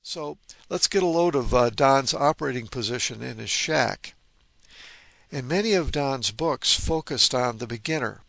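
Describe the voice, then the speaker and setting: A man with a gravelly, creaky voice narrates informally as the host of a hobby channel. He sounds keen on his subject and drops in wry asides. The sound is dry, with no room echo, and his pitch sits in an average male range. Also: lively intonation, normal speed, a bit bright. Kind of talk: informal narration on vintage radio gear.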